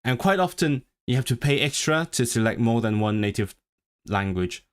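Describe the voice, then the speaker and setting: A man's voice: medium pitch, expressive, normal speed, clean tone. A man whose first language is Cantonese, chatting casually on his livestream, rambling with lots of restarts and self-deprecating asides. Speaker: language-learning streamer. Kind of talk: casual live-stream chat.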